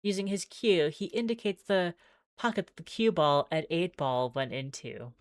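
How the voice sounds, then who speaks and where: A woman's voice: fairly low pitch, moderate pace, no room sound, clean studio sound. A woman streaming a visual novel, reading the text aloud theatrically with wry, exclaiming asides.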